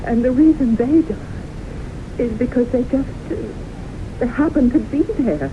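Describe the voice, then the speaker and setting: An actress playing a haunted, earnest woman in a 1970s radio drama, speaking with halting, deliberate intensity. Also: a little high, close to an everyday voice. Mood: agitated. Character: haunted, earnest woman convinced death pursues her. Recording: noisy, muffled 1970s radio broadcast recording.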